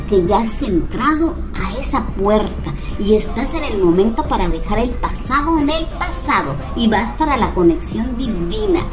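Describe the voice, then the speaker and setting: A woman giving a reassuring tarot reading to her viewers, speaking gently and fondly to them. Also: on the high side, rough recording. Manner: gentle, reassuring, calls viewers "mis cuervitos". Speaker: tarot reader. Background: background music.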